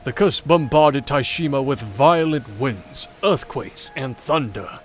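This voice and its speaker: A man voicing a game character who recounts an old legend in a measured, formal, theatrical way. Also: mid-range voice, animated. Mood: grave, dramatic. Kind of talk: dramatic scripted visual novel storytelling. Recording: game audio with background music.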